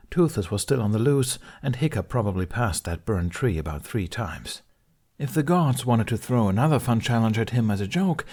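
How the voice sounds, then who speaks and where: A man narrates a fantasy fan fiction story in a steady, expressive reading. His voice sits low, and he keeps a calm storyteller's pace through the action. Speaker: podfic reader. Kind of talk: fanfiction audiobook narration.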